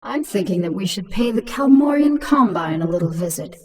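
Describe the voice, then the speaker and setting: A woman's voice: medium pitch, lively intonation, normal speed, recorded from a distance, lightly acted. An actress playing a cunning, commanding infested alien queen in a video game, sardonic and self-assured. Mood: scheming.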